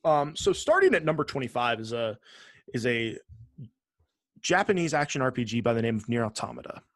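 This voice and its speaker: A man co-hosting a gaming podcast in casual chat, joking and sarcastic, swearing freely and often halting to rephrase. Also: medium pitch, big pitch swings, fairly bright tone, no echo, clean studio sound. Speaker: podcast co-host.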